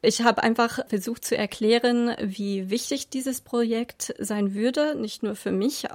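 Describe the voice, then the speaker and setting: A middle-aged American woman, a native English speaker and professor, answering interview questions in careful, earnest German with an American accent. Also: mid-range voice. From United States. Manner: careful, earnest learner's phrasing.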